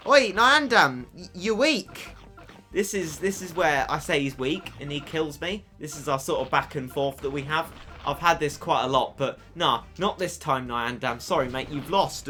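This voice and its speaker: Lively, bright young man gaming. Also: a little high. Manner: excitable, cheeky, stammers on repeats. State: losing his voice. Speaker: gaming YouTuber. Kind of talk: mobile game commentary.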